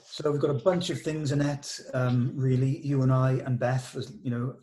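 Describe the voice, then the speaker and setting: A man with a mid-pitched voice talks through plans in a casual meeting. He sounds like a film director thinking aloud, pausing and restarting as he works things out. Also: little pitch movement, dark tone. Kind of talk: casual production meeting talk.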